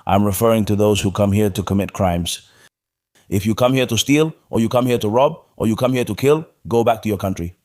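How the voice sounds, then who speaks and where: Interview guest: man from Morocco, low pitch, blunt, speaks in repeated short phrases. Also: brisk, darkish tone. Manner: blunt, repeats his points. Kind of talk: casual interview talk.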